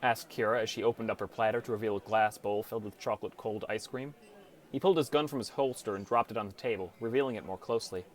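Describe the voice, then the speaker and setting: A man's voice: fairly low pitch, fairly even intonation, quick pace. A man reading scripted first-person story narration as an audiobook narrator.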